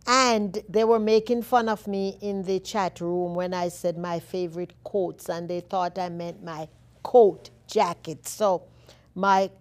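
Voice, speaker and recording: A woman with a Jamaican accent hosting a show, reading favorite quotes in a slow, deliberate way with emphatic repeats. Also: on the low side, little pitch movement. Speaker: host.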